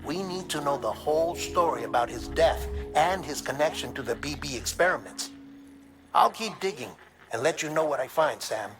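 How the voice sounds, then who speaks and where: An actor playing an earnest, troubled investigator in a video game, delivering a briefing in a measured, grave and deliberate way. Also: male voice, a little high, lively intonation. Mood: grave, thoughtful. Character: earnest, troubled male investigator reporting findings to a courier. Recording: dramatic music behind the voice.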